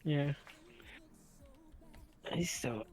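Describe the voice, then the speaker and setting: A calm, laid-back young man chatting with friends on a voice call. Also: extremely slow, soft background music. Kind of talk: casual chat.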